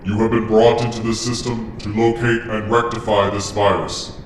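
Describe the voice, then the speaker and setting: A man voicing a cold, imperious computer program that speaks in formal, measured commands. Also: fairly low pitch, level tone, pitched below the performer's own voice, lightly acted. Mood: cold, commanding. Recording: roomy, distant, with faint noise.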